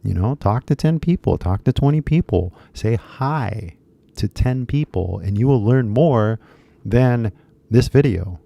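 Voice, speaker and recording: A man's voice: mid-range voice, animated, unhurried, vocal fry, dry recording, muffled recording. A man in his thirties chatting casually on a live stream, rambling with lots of fillers and switching into Japanese at times. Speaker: English teacher YouTuber.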